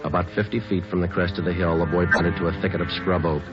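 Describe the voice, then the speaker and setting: An actor playing a police detective who narrates and questions in a clipped, matter-of-fact, deadpan way, in 1940s radio drama style. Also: male voice, low-pitched, quick pace, natural voice. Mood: flat. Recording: dull old radio broadcast with music.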